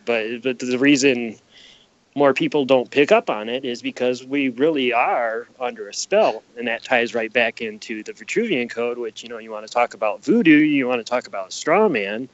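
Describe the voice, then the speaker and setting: Male podcast guest, mid-pitched, emphatic explainer who repeats key phrases; dry, muffled lo-fi sound. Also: normal speed. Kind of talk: conspiracy-minded explanatory interview talk. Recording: dull, lo-fi sound.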